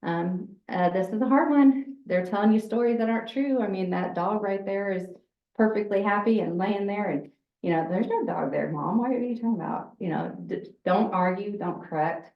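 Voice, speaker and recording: Loud, chatty woman teaching in an echoey room. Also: normal speed. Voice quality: lower voice. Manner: loud, chatty, laughs often. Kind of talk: informal workshop talk. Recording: echoey room, distant mic, dull sound.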